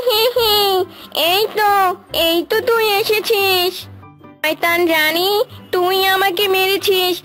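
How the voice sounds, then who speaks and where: A woman's voice: extremely high pitch, fairly bright tone. A woman voicing a menacing, lovelorn young female ghost in a cartoon, melodramatic and full of threats. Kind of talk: dramatic cartoon villain-like acting.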